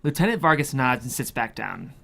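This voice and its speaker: A man's voice: mid-range voice, big pitch swings, a little fast, creaky, bright, forceful tone. A man reading a screenplay's stage directions as scripted narration. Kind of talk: scripted narration at a table read.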